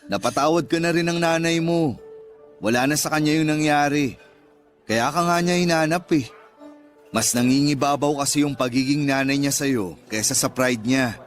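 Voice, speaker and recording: A man's voice: a little high, normal speed, lightly acted. Earnest young man in radio drama, acted. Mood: reassuring. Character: sincere, devoted young male suitor and confidant. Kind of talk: Tagalog radio drama acting. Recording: music behind the voice.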